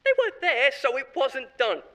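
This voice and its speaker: A frantic, blame-dodging company marketer pleads and justifies himself in a horror audio drama, voiced by a man. His voice sits high and bright and swings widely in pitch. He whines defensively and laughs nervously. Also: big pitch swings, normal speed, full character voice. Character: panicked self-serving male corporate marketer, blame-shifting. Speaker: voice actor.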